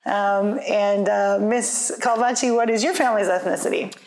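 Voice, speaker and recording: A woman's voice: mid-range voice, steady tone, normal speed, darkish tone. A middle-aged woman, a teacher, casually moderating a school panel with many hesitant fillers. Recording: echoey room.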